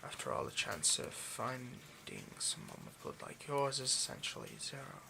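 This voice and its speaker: A man playing a possessive, condescending rich vampire in an intimate ASMR roleplay, domineering and hushed, often slipping into a whisper. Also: mid-range voice, huge pitch swings, normal speed, gravelly, noisy background, full character voice. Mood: hungry, fascinated.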